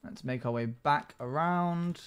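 A man's voice: fairly high pitch, unhurried, a little hiss or hum. A man in his twenties giving casual, chatty commentary on a game stream, reading out chat messages and replying. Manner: chatty, reads chat messages aloud and answers. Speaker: live streamer. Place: small home room, indoors.

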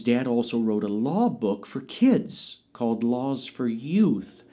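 Brief: middle-aged man, lowish voice, lively anecdotal interviewee, studio sit-down. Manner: animated, laughing storyteller who jumps into high-pitched quoted voices. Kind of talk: anecdotal storytelling. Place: indoors, studio with dark curtain backdrop.

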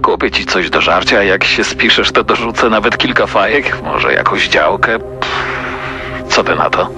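A man as a low-voiced sleazy youth. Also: unhurried, music in the background, lightly acted. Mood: sleazy, coaxing. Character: sleazy, coaxing older boy propositioning a girl. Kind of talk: radio crime dramatisation.

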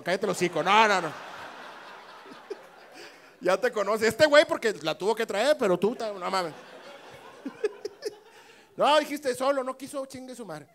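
A middle-aged man with a Mexican Spanish accent doing stand-up crowd work on a handheld mic, brash and teasing, swearing and bursting into shouts. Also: sits high, gravelly, a little hiss or hum. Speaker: stand-up comedian working the crowd. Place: small comedy club stage with live audience.

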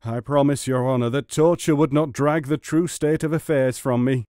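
A man's voice: medium pitch, fairly even intonation, a little fast, a little mellow, dry recording, studio quality, lightly acted. A man voicing a gullible, bad-tempered rustic muleteer in a storybook, exclaiming and stammering. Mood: awed, grovelling. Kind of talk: storybook character reading.

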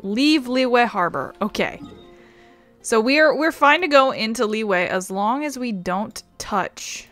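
A woman's voice: a little high, animated, slow, fairly bright tone, soft background music. A woman doing casual let's-play commentary, rambling and self-deprecating, on a close microphone. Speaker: video game streamer.

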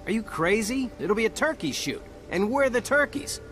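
An actor playing a wisecracking turncoat soldier in a video game, with a casual, wry manner. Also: male voice, high voice, lively intonation, moderate pace, a bit bright, faint music behind. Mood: indignant. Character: wisecracking human soldier turncoat in alien body. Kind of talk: video game cutscene acting.

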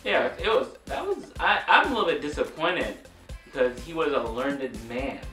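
A man's voice: a little high, very animated, normal speed, pushed and bright, soft background music. A man in his twenties co-hosting with playful, mock-serious banter in a small room. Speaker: co-host of a comedy trivia show. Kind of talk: casual joking banter. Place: small furnished room indoors. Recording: roomy echo, slightly distant mic.

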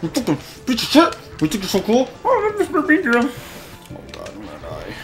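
A man's voice: extremely high pitch, huge pitch swings, fairly quick, faint music behind. A young man hosting a let's play, chatting casually and swearing with frustrated outbursts. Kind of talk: casual gameplay commentary.

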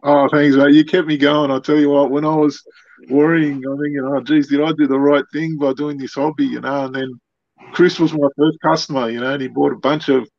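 A man's voice: fairly high pitch, flat delivery, a little fast, vocal fry, a little mellow, no room sound. A man, chatting informally as a guest on a video call. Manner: informal, often adds "you know". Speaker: podcast guest. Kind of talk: casual chat. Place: indoor workshop on a video call. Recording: dull, dry video-call audio.

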